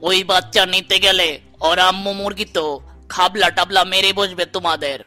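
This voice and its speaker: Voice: medium pitch, fairly even intonation, brisk, over loud music, lightly acted. A performer voicing a friendly, bumbling farmer uncle in a children's cartoon, rustic and colloquial, with a Bangladeshi accent. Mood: explaining, apologetic. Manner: rustic, colloquial, good-natured.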